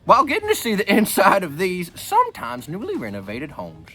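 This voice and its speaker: Man playing a rambling old grandpa, wildly animated. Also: high-pitched, fairly quick, soft background music, full character voice. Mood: folksy storytelling. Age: older. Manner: rambling, repeats himself, wisecracking. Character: folksy, joking old grandpa reading a memoir aloud. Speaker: book reader. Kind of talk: reading a book aloud with rambling comic asides.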